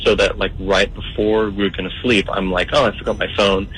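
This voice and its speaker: A man's voice: on the low side, steady tone, brisk, fairly bright tone, a little hiss or hum, low-quality recording. A young man calling in to a radio show, telling his story in a hesitant, rambling way full of fillers. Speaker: caller.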